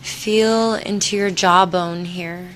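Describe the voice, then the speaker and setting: A woman with a slightly low voice guides a body-scan meditation. She speaks very slowly and soothingly, leaving space between phrases. There is faint noise behind her. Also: steady tone, fairly bright tone. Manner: soothing, unhurried, with long pauses.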